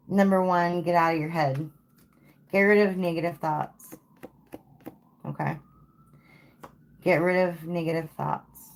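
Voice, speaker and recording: A woman with a low voice gives a live tarot reading in an easy, unhurried way. She sounds tired and a little worn, as if her voice is going, and keeps checking in with "okay?" as she talks. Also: steady tone, creaky. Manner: casual, repeats "okay?" and "like". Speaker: tarot reader.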